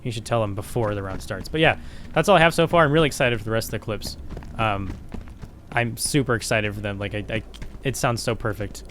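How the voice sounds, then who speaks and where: A young man, a game developer, casually and excitedly walking a collaborator through his game, talking loosely with lots of fillers. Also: fairly low pitch, a little fast, a little hiss or hum. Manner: excited, casual slangy chat with many fillers. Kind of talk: casual screen-recorded walkthrough.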